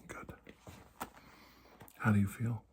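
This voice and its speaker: A man playing a calm, reassuring doctor in a soft-spoken ASMR medical roleplay, often dropping into a whisper with slow pauses. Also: slight background noise, natural voice. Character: calm, reassuring male doctor treating an alien affliction.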